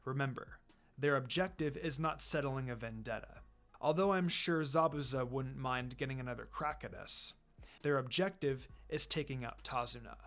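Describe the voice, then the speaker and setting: A man voicing a calm, weary, commanding ninja teacher in a measured, matter-of-fact way, for a story reading. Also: medium pitch, pitched below the performer's own voice, lightly acted. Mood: serious.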